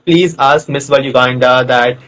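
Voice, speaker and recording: A man's voice: mid-range voice, steady tone, moderate pace, fairly bright tone, poor audio. A man from North India hosting a live online interview, chatty and friendly, rambling with fillers as he asks his questions.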